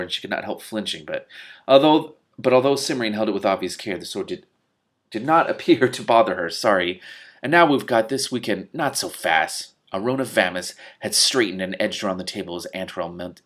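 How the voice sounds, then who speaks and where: A man reading a novel aloud as host, expressive and reactive, stumbling over words now and then and breaking in with sweary asides. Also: sits low. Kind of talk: expressive audiobook-style reading with excited asides.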